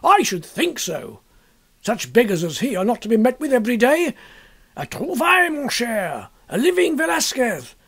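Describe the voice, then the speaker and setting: Man acting a blustering, high-pitched bohemian painter. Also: huge pitch swings, normal speed, creaky, a bit bright, husky, full character voice. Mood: loud, exuberant. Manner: emphatic, declamatory. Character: blustering, exuberant male painter, bohemian and opinionated.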